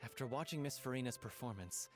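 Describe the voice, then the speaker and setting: A man's voice: mid-range voice, fast, natural voice. A man voicing an earnest, polite young stage performer in a video game. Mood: earnest, admiring. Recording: music under the voice.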